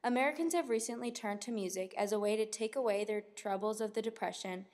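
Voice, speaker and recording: A woman's voice: mid-range voice, fast, darkish tone, close to an everyday voice. A woman playing a 1930s radio newswoman, reading scripted reports in a period broadcast. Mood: earnest.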